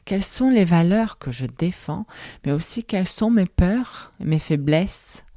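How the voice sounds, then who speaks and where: Low, mellow woman hosting a podcast. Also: animated, unhurried, no room sound, studio quality. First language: not French. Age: 30s. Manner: thoughtful, questioning, soft-spoken. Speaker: podcast host. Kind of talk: reflective podcast monologue.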